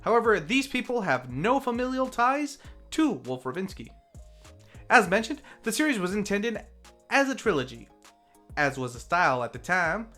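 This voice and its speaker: A review host narrating from a script, with theatrical flourishes and wry deadpan asides. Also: mid-range voice. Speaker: host of a film review series.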